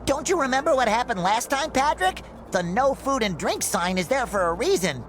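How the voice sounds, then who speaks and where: A man voicing a cheerful, naive young sea sponge hero in a cartoon game, with a squeaky nasal voice and an earnest, excitable manner. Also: moderate pace, a little hiss or hum, heavily acted. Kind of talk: animated cartoon game voice acting.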